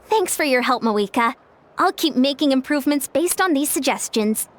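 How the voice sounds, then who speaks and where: A woman voicing a young, earnest and diligent sports coach in a video game, brisk and matter-of-fact. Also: high-pitched, animated. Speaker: coach and commentator. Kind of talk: video game character acting.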